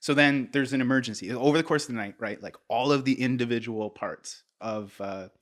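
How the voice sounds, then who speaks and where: A man hosting a podcast, telling history in a casual, animated way, peppered with "like" and "right?". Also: medium pitch, brisk. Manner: animated, filler-heavy, keeps checking in with "right?". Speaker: podcast host.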